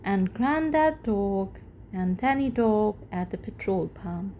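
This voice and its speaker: A woman reading a children's storybook aloud as the storyteller, with expressive, deliberate phrasing. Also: mid-range voice, muffled recording, a little hiss or hum.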